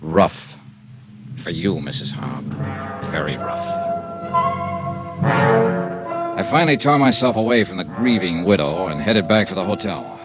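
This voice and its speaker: An actor playing a wry, hard-boiled insurance investigator with a dry, clipped delivery, in a 1950s radio drama. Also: male voice, low voice, animated, measured pace, pauses often, loud music behind the voice, poor audio. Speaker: detective narrator and lead. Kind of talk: 1950s radio noir narration and acting.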